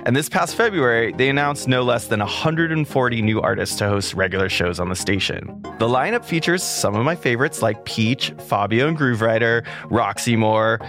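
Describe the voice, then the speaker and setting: A man's voice: medium pitch, animated, moderate pace, hardly any pauses, a bit bright. A man from California hosting a music and culture podcast in a studio, reading his script in an upbeat conversational way and then chatting with a guest. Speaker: podcast host. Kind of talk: scripted podcast narration and interview.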